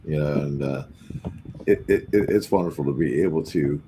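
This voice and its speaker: Low male voice, halting jovial radio host, casual chat, noisy recording with clicks. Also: mellow. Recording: clearly noisy background with clicks.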